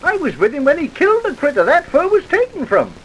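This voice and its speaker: A man's voice: very high voice, wildly swooping pitch, fast, fairly bright tone, heavily acted. A man playing a folksy, worried older rural American tax collector in a radio drama, with a hesitant country way of talking. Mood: astonished. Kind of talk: old-time radio drama acting. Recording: dull, hissy vintage radio recording.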